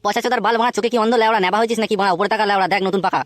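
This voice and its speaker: A man's voice: high-pitched, steady tone, rapid-fire, no echo, lightly acted. A man voicing a foul-mouthed, blustering young thief in a comic cartoon. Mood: boastful, irritated. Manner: swearing constantly, blustering. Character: foul-mouthed brash young male neighbourhood thief, defiant.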